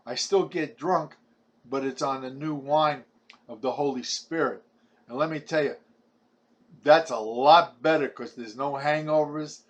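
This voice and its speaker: A man in his seventies from Brooklyn with a New York accent, talking to camera in a rambling personal testimony, often repeating his words. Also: fairly high pitch. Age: 70s. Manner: halting, repeats words, chatty and preachy.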